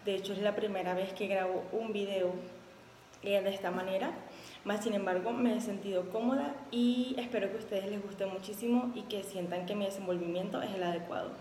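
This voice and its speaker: Young Venezuelan woman in her twenties, chatty and upbeat, mid-pitched voice, roomy echo with a faint hiss. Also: steady tone, fairly quick, mellow. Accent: Venezuelan Spanish. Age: 20s. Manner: chatty and upbeat, with many fillers. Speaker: contest applicant. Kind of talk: casual self-introduction video. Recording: echoey room with faint background noise.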